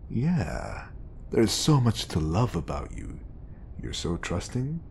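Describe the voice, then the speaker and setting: A man's voice: very animated, takes it slowly, a little mellow, a little hiss or hum, heavily acted. A man playing an obsessive, lovestruck bodyguard in an audio roleplay, coaxing and intimate, passing devotion off as professionalism. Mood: infatuated. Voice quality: low, creaky. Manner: coaxing, intimate, slipping into whispers. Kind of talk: audio roleplay acting addressed to the listener.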